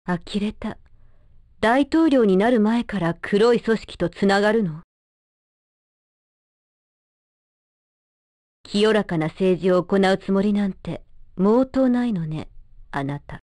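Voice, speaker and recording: A woman's voice: medium pitch, lively intonation, unhurried, a little mellow, no echo, studio quality, natural voice. A woman playing a composed, self-possessed fighter who speaks pointedly and with disdain, in anime game style. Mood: scornful.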